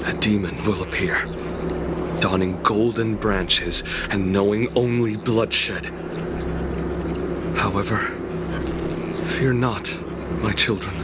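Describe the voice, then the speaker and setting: Slow, grave old warrior master. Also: male voice, fairly low pitch, heavy background noise. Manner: grave, measured. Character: dying old male warrior master, wise, resolute, fatherly. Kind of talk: dramatic game cutscene acting.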